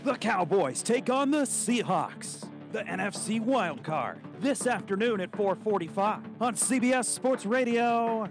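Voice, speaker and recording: Promo voice guy, high and drawn out, music loud behind. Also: animated. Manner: slow, drawn-out promo delivery. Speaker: radio promo announcer. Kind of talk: scripted promo read.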